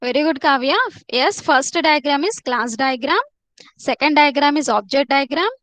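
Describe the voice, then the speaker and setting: A woman's voice: sits high, normal speed, fairly bright tone, no echo. A woman teaching a live online class, repeating herself and coaxing students to answer in the chat.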